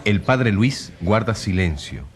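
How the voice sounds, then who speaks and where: An actor playing a solemn radio announcer who narrates a trial in scripted radio drama style. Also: male voice, lowish voice, expressive, slow pace.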